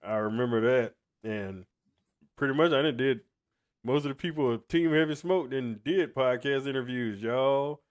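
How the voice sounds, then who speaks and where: A man hosting a podcast interview in a relaxed, casual way, laughing often. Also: mid-range voice, moderate pace. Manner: laid-back, laughs often, says y'all. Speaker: podcast host.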